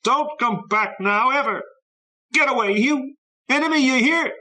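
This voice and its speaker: A man's voice: animated, moderate pace, a bit bright. A man doing a fierce, anguished young boy. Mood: furious. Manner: cries out in raw high anguish.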